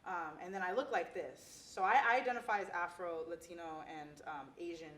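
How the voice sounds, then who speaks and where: A woman's voice: fairly low pitch, a little fast, a bit bright. A nineteen-year-old woman from California talking earnestly in a casual panel discussion, restarting her sentences as she thinks aloud. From Torrance, California. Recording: roomy, distant recording.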